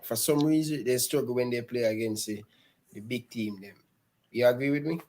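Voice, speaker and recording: A man co-hosts a casual football talk. He trips over his openings, repeating words before the thought lands. His voice sits at an ordinary middle pitch for a man. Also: moderate pace, a little mellow, a little hiss or hum. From Jamaica. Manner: repeats words often, stumbling starts. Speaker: co-host.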